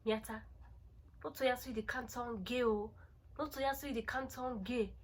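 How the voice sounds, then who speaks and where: A woman's voice: a little high, fairly even intonation, normal speed, lightly acted. A woman voicing a reproachful African mother who nags her tomboy daughter and repeats herself, in a storytelling vlog. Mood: scolding.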